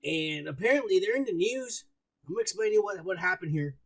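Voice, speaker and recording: A raspy, gravelly-voiced man with a high, lively pitch, co-hosting a podcast. He rambles in crude, profane casual talk, sounding high and loose as he drinks. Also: quick pace, low-quality recording. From United States. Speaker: podcast co-host. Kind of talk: casual chat.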